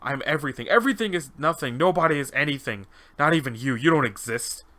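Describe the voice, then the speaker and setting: A man's voice: fairly high pitch, expressive, fast, bright, forceful tone. A man voicing an agitated male convict test subject unraveling into madness, bewildered and stammering, in a dramatized interview log. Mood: manic, fervent.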